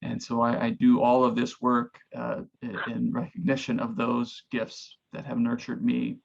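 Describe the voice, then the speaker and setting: A man from Oklahoma, a pastor, giving earnest, reflective and somewhat halting opening remarks over a video call. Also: medium pitch, little pitch movement, gravelly. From Oklahoma, United States. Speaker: pastor, guest speaker. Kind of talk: informal opening remarks of a talk. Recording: dull, lo-fi video call audio.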